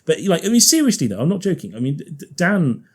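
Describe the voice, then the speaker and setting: A man's voice: a little high, very animated, fast, slightly rough. A man with a Liverpool accent, a guest organist chatting casually on a podcast, often laughing and thinking aloud.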